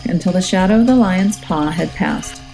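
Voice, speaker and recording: A woman reading a children's story aloud as a teacher, in a measured, expressive and suspenseful way. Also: on the low side, gravelly, low-quality recording. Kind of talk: dramatic children's storybook narration. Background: music and nature sounds.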